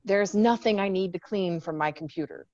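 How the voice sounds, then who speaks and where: A woman's voice: medium pitch, moderate pace, a little mellow, no echo, dull audio, close to an everyday voice. A woman reading the part of a tough, guarded young female Marine lieutenant in a screenplay table read, with blunt, sardonic delivery. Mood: frustrated, disbelieving. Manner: blunt, swearing, defiant.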